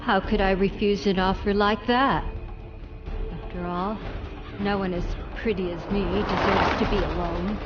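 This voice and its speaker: An actress playing a lonely, vain, unhinged young bride in a dramatic video game cutscene monologue. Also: female voice, mid-range voice, fairly even intonation, unhurried, highs cut off, lightly acted. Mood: wistful, brooding. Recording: loud music under the voice.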